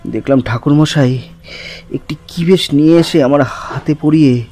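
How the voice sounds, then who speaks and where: A man's voice: fairly high pitch, normal speed, mellow, lightly acted. A man playing an earnest, shaken narrator who recounts a supernatural horror tale in the first person with suspenseful expression. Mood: uneasy, recounting.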